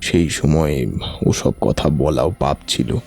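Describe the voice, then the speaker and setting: A man acts out a grave, reticent older village uncle in an audio story, recalling old secrets. The voice is deep and dark, dragging haltingly, with music underneath. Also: gravelly, exaggerated voice. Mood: hushed, grave.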